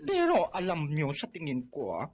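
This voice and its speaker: A woman's voice: low voice, wildly swooping pitch, normal speed, darkish tone. A woman with a Filipino accent playing a fawning, gossipy film assistant in a radio drama, deferential in manner. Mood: gossipy, agreeing.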